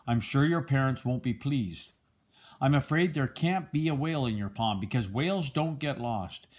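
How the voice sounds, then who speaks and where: Husky older man reading a storybook. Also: medium pitch, moderate pace. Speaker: storybook reader, a grandfather. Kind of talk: reading a children's picture book aloud.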